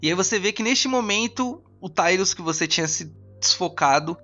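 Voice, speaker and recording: A man's voice: sits high, animated, normal speed, sharp tone, lo-fi sound, soft background music. Brazilian man narrating a tabletop game. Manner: conversational, repeats and searches for words. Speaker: game master.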